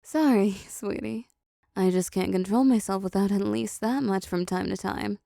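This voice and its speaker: A woman voicing a possessive, seductive female demon in an intimate audio roleplay, softly teasing, dominant and doting. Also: mid-range voice, steady tone, moderate pace, creaky, dry recording, clean studio sound, natural voice. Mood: flirty, coaxing.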